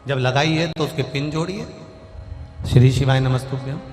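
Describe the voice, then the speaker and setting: An Indian man preaching a devotional sermon to a large crowd. He rises and falls in pitch and urges the listeners to call out their answers. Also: fairly even intonation, moderate pace. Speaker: preacher telling a Shiva scripture story. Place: large venue with a crowd and speakers. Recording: live sermon in a long reverberant hall with music behind.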